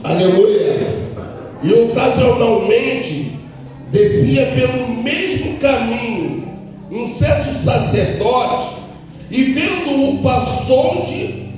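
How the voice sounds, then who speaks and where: Hoarse male pastor, high-pitched, slow sing-song sermon, distant mic in a booming church hall. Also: expressive, darkish tone, soft background music. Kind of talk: sermon with responsive Bible reading.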